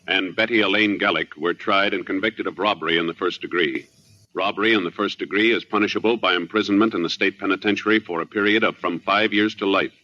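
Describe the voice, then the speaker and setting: A man's voice: on the low side, sharp tone. An American man announcing formally from a script in 1950s radio style. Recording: dull old radio recording, music behind.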